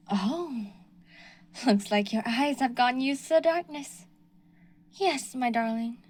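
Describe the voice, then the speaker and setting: A young woman acting out an obsessive stalker who coos endearments in a fairly high voice. She turns sweetness into menace and drops into whispers. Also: slow pace, long pauses, a little mellow. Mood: taunting. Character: obsessive delusional female yandere stalker. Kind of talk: audio roleplay.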